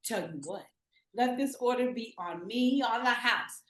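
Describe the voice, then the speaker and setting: In a roomy space, a woman acts out a flirty, affectionate Southern auntie at a drive-through window. Her voice sits fairly high, and she serves customers with sugary hospitality, as in a live screenplay reading. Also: a little slow, a bit bright. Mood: sweet, hospitable. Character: warm flirty Southern auntie donut shop attendant. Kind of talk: live screenplay table read.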